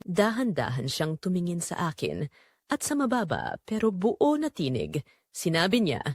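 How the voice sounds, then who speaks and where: Woman, Filipino accent, lowish pitch, lively intonation, measured dramatic delivery, romantic story narration. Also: dry recording, studio quality. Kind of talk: scripted first-person narration of a romantic drama.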